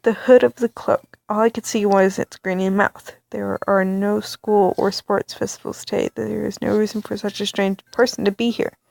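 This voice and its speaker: A woman reads a story game aloud into a close mic, reacting as she goes and often stumbling over words. Her pitch sits mid-range, and the sound is dry with no room echo. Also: gravelly. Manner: animated, reactive, stammering asides. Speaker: let's play reader.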